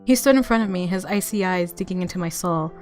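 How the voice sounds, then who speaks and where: A woman narrating a visual novel aloud as a game streamer, with expressive reactions and music underneath. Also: lowish voice, quick pace.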